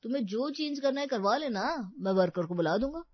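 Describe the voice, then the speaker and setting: A woman's voice: fairly high pitch, animated, a little fast, slightly rough, no room sound. A woman voicing a lovestruck, indulgent young man in an audio story, mild and accommodating. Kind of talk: Hindi audio story acting. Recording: slightly dull, dry studio recording.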